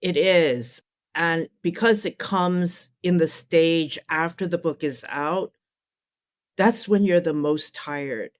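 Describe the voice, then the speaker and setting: A woman's voice: fairly low pitch, takes it slowly, no room sound, clean studio sound. A middle-aged woman answering as an interview guest and academic author, candid, colloquial and emphatic.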